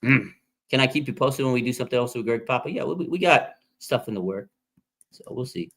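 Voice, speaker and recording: An American man of about forty from Las Vegas, hosting a casual live stream and reading out fan comments in an excitable way. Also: brisk, creaky. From Las Vegas, Nevada, USA. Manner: excitable, with sudden pitch jumps. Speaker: livestream host.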